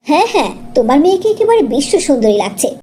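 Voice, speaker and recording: Jealous, vain young sister, animated woman acting. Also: high-pitched, fast, slight background noise. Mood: jealous scheming.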